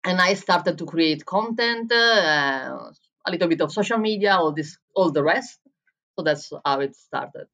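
Italian woman, lower voice, lo-fi sound. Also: expressive, a little slow, a bit bright. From Italy. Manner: searching for words in English, frequent restarts. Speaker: head barista and coffee coach. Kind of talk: conversational interview answers.

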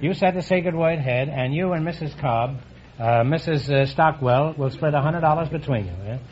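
A man hosting a quiz show, cracking quick puns and teasing his contestants. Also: a little high. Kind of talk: joking quiz show banter. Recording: dull old radio broadcast with hiss.

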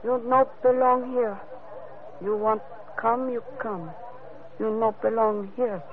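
An actress playing a stern foreign temple gatekeeper in an old radio drama, using curt, broken English. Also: female voice, on the high side, takes it slowly, crowd noise. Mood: curt, forbidding. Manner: clipped broken English, flat and forbidding. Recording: noisy, narrow-band old radio recording.

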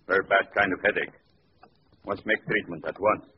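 An actor playing a villain's devoted servant in an old radio drama, speaking in clipped, broken phrases. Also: male voice, sits low, steady tone, brisk, natural voice. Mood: stern. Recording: dull old radio recording with hiss.

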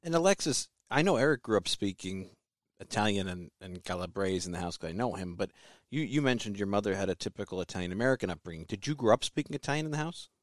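A man's voice: fairly low pitch, lively intonation, fast, dry recording, studio quality. A man hosting a podcast, leading the talk with conversational questions. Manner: conversational interviewer, leads with questions. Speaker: podcast host.

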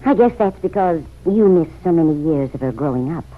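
Middle-aged mother, vintage radio serial: kindly, unflustered, expressive pitch, very muffled recording. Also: fairly quick, slight background noise. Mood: unworried. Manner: motherly, gentle. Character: kindly, unruffled older mother and aunt. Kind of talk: old-time radio drama acting.

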